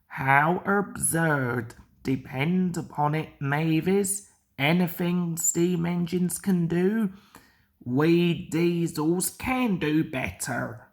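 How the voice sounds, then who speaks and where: A scheming male diesel engine from a children's storybook, voiced by a woman reader. He is pompous and oily, speaking very slowly in a slightly low voice with smug superiority.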